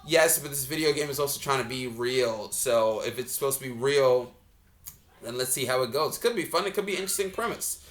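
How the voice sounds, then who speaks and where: A man's voice: medium pitch, fairly quick, a bit bright. A man giving a casual, off-the-cuff reaction commentary as a reviewer, talking in a rambling, blunt way. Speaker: video game trailer reviewer.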